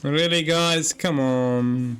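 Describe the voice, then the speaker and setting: Low slow-talking gamer lady, swoopy reactions, playful commentary over faint game music. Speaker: gamer, streamer.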